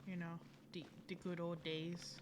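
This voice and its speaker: A woman's voice: fairly low pitch, little pitch movement, measured pace, noisy background. A woman giving casual live gameplay commentary, rambling along and playfully talking to the game. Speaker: game streamer. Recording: game music behind the voice. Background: loud video game music.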